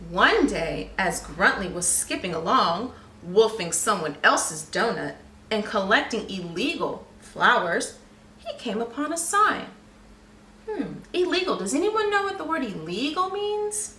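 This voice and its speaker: Female early childhood read-aloud teacher: high, animated, expressive and questioning, echoey room. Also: measured pace, a little hiss or hum. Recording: roomy, echoey, slightly distant microphone.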